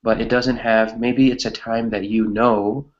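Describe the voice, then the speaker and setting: A man's voice: mid-range voice, flat delivery, measured pace, muffled recording. A man teaching an English grammar lesson conversationally, encouraging his students and often checking in with "right?".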